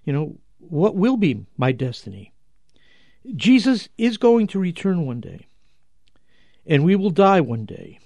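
A man preaching as a religious commentator, emphatic and rhetorical, with deliberate pauses and repetition for weight. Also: high voice, big pitch swings, warm tone, dry recording. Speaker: religious commentator and show host. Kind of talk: dramatic Gospel commentary, preaching to listeners.

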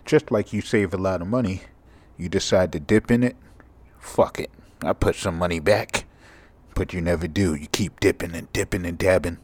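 A sleepy young man with a monotone, mumbly voice, hosting a rambling, profane solo podcast. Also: sits low, moderate pace. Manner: casual, profane, drifting from thought to thought. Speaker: podcast host.

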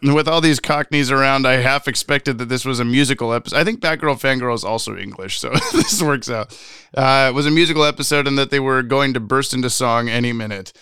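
Jokey male podcast host, crisp dry sound. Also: medium pitch, bright, forceful tone, no room sound. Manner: jokey, rambling. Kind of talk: casual chat, reading out listener mail.

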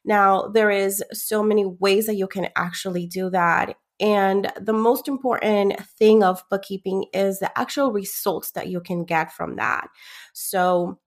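A woman's voice: mid-range voice, fairly even intonation, measured pace. A woman from Ecuador, a bookkeeper guest on a podcast, explaining things eagerly, step by step, with everyday examples. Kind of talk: explanatory interview talk.

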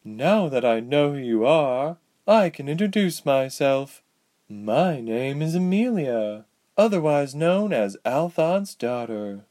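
A man putting on a cold and bitter villainous sorceress for a spoken storybook, her voice raised somewhat above his own. She speaks coolly and slowly, with lively swings in pitch. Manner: cool and indifferent, turning bitter.

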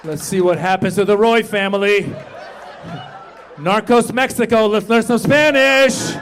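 Middle-aged male stand-up, high voice, lively crowd work, punchlines yelled. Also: measured pace, slight background noise. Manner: animated, shouts punchlines, jumps into high put-on voices. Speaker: stand-up comedian. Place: comedy club stage before a live audience.

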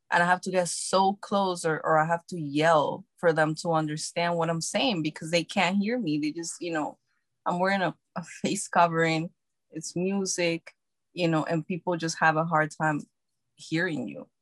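A quiet, soft-spoken young woman, answering as an actress in a casual interview. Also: lowish voice, fairly even intonation, normal speed, no echo.

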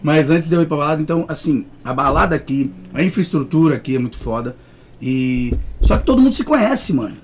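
Fast-talking young Santos man. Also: on the high side, animated, slight background noise. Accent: Santos Brazilian Portuguese. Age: young adult. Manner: rapid, slangy, quotes himself. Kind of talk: casual podcast chat.

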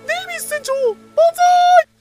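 An actor playing admiring, rowdy pirate crewmen in an audio drama. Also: male voice, extremely high pitch, moderate pace. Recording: music bed behind voice.

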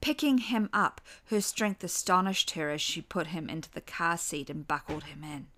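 A woman's voice: on the low side, normal speed, sharp tone. A woman reading a scripted dramatic story as its narrator. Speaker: author and narrator.

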